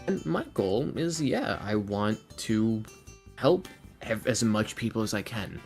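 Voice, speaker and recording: A man's voice: on the low side, lively intonation, takes it slowly, gravelly, a little mellow. A man talking casually as a podcast guest, earnest and self-deprecating in a rambling way. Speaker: podcast guest, ASMR roleplay creator and voice actor. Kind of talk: casual podcast interview talk. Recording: music playing under the talk.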